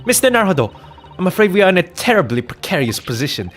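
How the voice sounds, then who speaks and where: A man's voice: high voice, very animated, fast talker, a bit bright, faint music behind, voice pushed up, full character voice. A man voicing an earnest, polite young woman legal assistant in a courtroom game, with a prim and anxious manner. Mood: worried.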